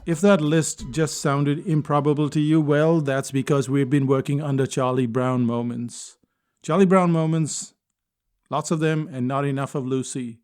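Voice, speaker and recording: A man calmly delivering a reflective spoken essay, telling a personal story at a measured pace with deliberate pauses. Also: on the high side, clear, resonant tone, soft background music. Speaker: speaker and consultant.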